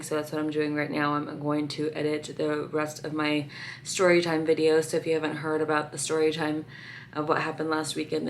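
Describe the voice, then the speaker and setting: Young woman vlogger, hoarse and low-pitched, rambling chatty delivery. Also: a little hiss or hum. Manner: chatty, lots of "like" and "um" fillers. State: raspy morning voice. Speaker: lifestyle vlogger. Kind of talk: casual vlog chat to camera.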